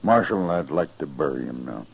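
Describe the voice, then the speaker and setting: Very deep, husky stern rancher. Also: male voice, animated, moderate pace, heavily acted. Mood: grimly resolved. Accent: American. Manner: terse, commanding, grim. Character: stern, hard, grieving old-West rancher father. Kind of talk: 1950s radio western acting. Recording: dull vintage radio recording.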